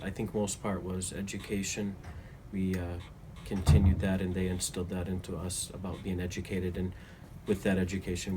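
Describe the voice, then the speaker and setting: Deep, flat-voiced man in his thirties. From Toledo, Ohio, US. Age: 30s. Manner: measured, earnest, some hesitations. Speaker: interviewee. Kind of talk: reflective interview answers. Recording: steady background noise.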